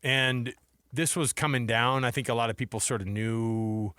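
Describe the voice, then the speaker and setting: A male sports radio host with a mid-pitched voice, recorded dry and close in a studio. He talks in a loose, informal, conversational style, chatting to listeners and his co-host like buddies. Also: fairly even intonation, normal speed, pushed and bright, clean tone, no room sound. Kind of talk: casual sports talk radio.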